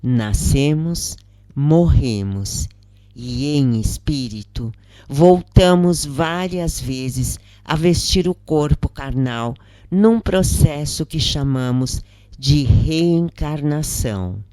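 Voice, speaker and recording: A woman acts out a wise, elderly Brazilian mother from a storybook. She speaks low and softly, solemn and comforting, taking her time over each phrase. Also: lively intonation, slow pace, no room sound. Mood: reassuring.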